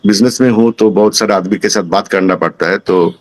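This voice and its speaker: A man in his sixties with a fairly low voice and Bengali-accented Hindi. He gives an informal personal testimonial as a student, pausing and searching for words as he goes. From Kolkata, India. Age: 60s. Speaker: singing student giving a testimonial.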